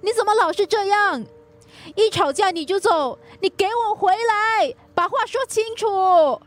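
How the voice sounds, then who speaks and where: A woman's voice: extremely high pitch, measured pace, dry recording. An actress playing an earnest, easily wounded wife in her thirties in a radio drama, with emotive, pleading delivery. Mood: upset, accusing. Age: 30s.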